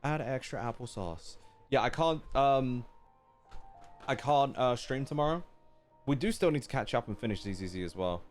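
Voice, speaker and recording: A man's voice: medium pitch, animated, moderate pace, soft background music. A man live-streaming a game with casual, offhand, joking reaction commentary full of slang.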